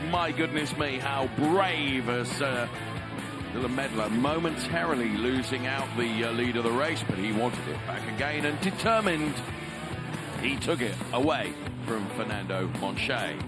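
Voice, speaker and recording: A man giving live race commentary, pausing often on "uh" and rising with excitement at big moments. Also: medium pitch. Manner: frequent "uh" fillers, excited rises.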